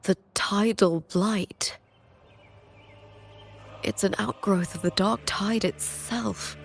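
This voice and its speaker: A woman's voice: mid-range voice, slow, gravelly. A woman playing a spirited, bold young warrior with a rallying, dramatic manner in a video game. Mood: hushed, uneasy. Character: spirited young female warrior with a wolfish battle cry. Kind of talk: game voice acting. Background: game music.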